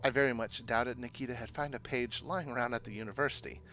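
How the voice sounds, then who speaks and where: A man's voice: medium pitch, steady tone, fast, pushed and bright, soft background music, natural voice. A man voicing an awkward, sardonic young woman who narrates her visual novel adventure in a self-deprecating way. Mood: doubtful.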